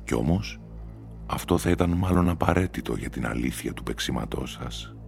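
A man voicing a mysterious, mocking older stranger, a devil in disguise, in a radio story, speaking slowly and condescendingly. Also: very deep voice, animated, vocal fry, a little mellow, soft background music, voice pushed down, exaggerated voice. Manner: slow, deliberate, condescending.

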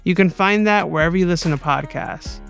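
American podcast co-host reading over soft music. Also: female voice, fairly low pitch, fairly quick, creaky. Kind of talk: scripted podcast credits.

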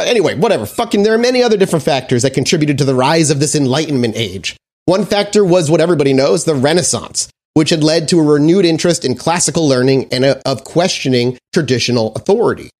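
A man's voice: a little high, darkish tone. A man hosting a casual history podcast, reading from notes with frequent fillers and restarts. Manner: reads research aloud with frequent fillers and restarts. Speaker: podcast host.

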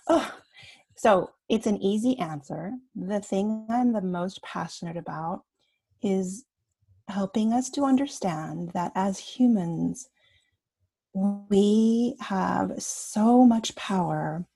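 Canadian woman, 50s, coach on a podcast, slow talker, breathy voice, laughs a lot. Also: mid-range voice, mellow. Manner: reflective, laughs often, many fillers. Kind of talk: podcast interview guest.

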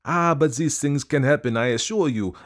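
A man voicing a fussy, precise male detective who asks polite, probing questions in a deliberate way. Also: mid-range voice, voice pushed up, natural voice.

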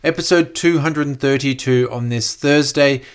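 A man hosts his own podcast, his voice a little croaky. He keeps an upbeat, motivational coaching tone throughout, sitting at a middle pitch for a man. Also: normal speed. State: slightly croaky voice. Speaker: podcast host. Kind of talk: solo podcast monologue.

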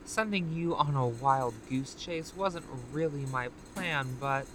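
An actress playing a smug, taunting corporate executive villain from a time-travel audio drama, theatrical and condescending. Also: female voice, sits low, takes it slowly, over music. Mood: sly, persuasive.

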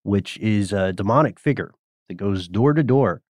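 A man with a Southern American accent, co-hosting a casual podcast and telling stories in a lively way. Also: lowish voice, moderate pace, dry recording, studio quality. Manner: lively storyteller, acts out quotes. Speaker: podcast co-host.

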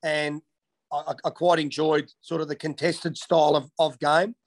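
A man's voice: a little high, level tone, normal speed. An Australian man from Western Australia in his thirties, answering interview questions casually with many ums and restarts. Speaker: interviewee, former footballer.

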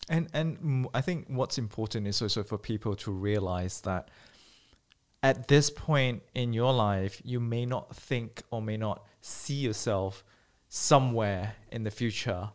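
A man's voice: on the low side, a little slow. A man from Malaysia hosting a podcast, telling his own story in an earnest, conversational way. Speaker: podcast host. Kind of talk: casual interview talk.

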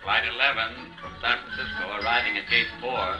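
A man's voice: medium pitch, fairly even intonation, a little fast, over music, natural voice, poor audio. A man playing an airport announcer making formal public-address calls in a 1940s radio drama. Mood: formal, impersonal. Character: male airport flight announcer.

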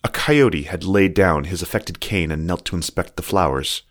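A man reading the old-fashioned love letters of a genteel, earnest fox in a measured, formal way. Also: sits low, close to an everyday voice. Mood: wistful. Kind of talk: reading letters aloud from a story.

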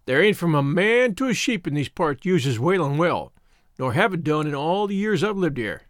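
A man's voice: on the high side, big pitch swings, moderate pace, dry recording, studio quality. A man voicing a grave, superstitious old shepherd with rustic English dialect, in a storybook reading. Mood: genial. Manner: dialect speech, solemn warnings.

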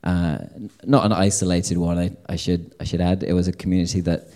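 A man with a low voice and a dark, mellow tone talks on a panel. He hesitates often, filling gaps with "uh" and restarting. His asides have a dry, wry edge. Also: flat delivery, brisk. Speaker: publisher on a panel. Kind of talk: panel discussion. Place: lecture event before an audience.

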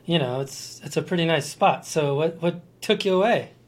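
A man's voice: fairly high pitch, normal speed. A middle-aged man from Colorado hosting a podcast in casual, enthusiastic chat. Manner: enthusiastic, laughs easily. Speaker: podcast host.

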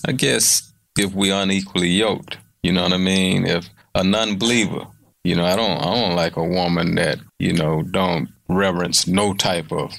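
A middle-aged man with a very deep voice and a gravelly, fry-heavy texture. He talks in a relaxed, unhurried way, often checking in with his listener. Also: no room sound. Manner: laid-back, repeats "you know what I mean". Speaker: guest. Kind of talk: casual chat.

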